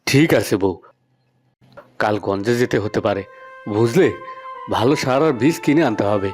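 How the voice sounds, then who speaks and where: A man's voice: fairly low pitch, animated, normal speed, faint music behind, close to an everyday voice. A man voicing a tired, hardworking village farmer husband in a cartoon, plain-spoken and homely. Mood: earnest. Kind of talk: cartoon voice acting.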